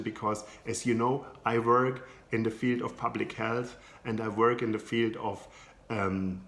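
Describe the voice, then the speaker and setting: German man, German accent, psychologist-coach speaking to camera, halting and searching for words; echoey room. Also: lowish voice, a bit bright. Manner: searching for words, frequent restarts and fillers. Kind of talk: informal talk to camera.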